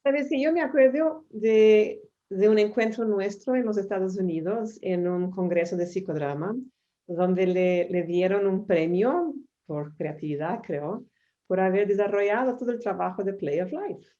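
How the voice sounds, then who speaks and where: A woman interviewer speaks in a warm, affirming way as she draws out her guest. Her voice is mellow and dark at a middle pitch, and it comes through dull, lo-fi audio. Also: a little slow. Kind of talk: conversational interview.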